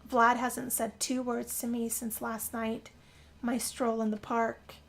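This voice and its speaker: Woman playing a slow-speaking, weary young woman. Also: fairly high pitch, vocal fry. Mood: frustrated. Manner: sighing, drawn-out, confessional delivery. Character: young woman Yazmin, moody, weary, being turned vampire. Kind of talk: first-person dramatic role-play narration over a game. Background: guitar music.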